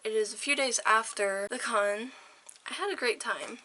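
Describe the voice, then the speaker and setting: A woman vlogger talking casually to her viewers, her voice hoarse and a little worn, rambling with fillers and laughs. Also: fairly high pitch, moderate pace, pushed and bright, a little hiss or hum, low-quality recording. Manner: rambling, chatty, laughs often. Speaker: vlogger and interviewer. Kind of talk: casual vlog chat.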